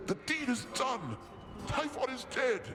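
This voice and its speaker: A man's voice: extremely high pitch, sing-song, measured pace, full character voice. A man voicing a jovial, grandiose king of the gods in a video game, proclaiming in a pompous, theatrical way. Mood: jubilant. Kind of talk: scripted video game voice acting. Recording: music behind the voice.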